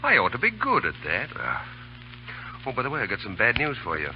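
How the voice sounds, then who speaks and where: An actor playing an amused, teasing army officer with a wry manner in an old radio drama. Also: male voice, mid-range voice, wildly swooping pitch, brisk. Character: teasing, amused male army officer, light-hearted comrade. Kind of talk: 1930s-40s radio drama acting. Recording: muffled old radio recording with hiss.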